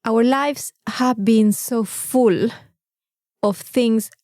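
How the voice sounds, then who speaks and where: Mexican woman, native spanish speaker, casual podcast chat, highish voice, close dry sound. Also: extremely slow, darkish tone, dry recording. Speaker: podcast co-host.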